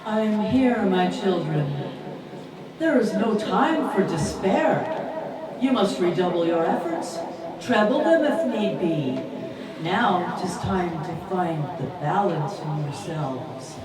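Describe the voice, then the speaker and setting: A woman's voice: lowish voice, animated, takes it slowly, a loud crowd. A woman acting a wise, commanding old grandmother spirit on stage, solemn and declamatory. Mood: solemn, rallying. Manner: declamatory, stately. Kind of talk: theatrical stage acting in a musical play. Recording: echoey theatre, distant mic, audience noise.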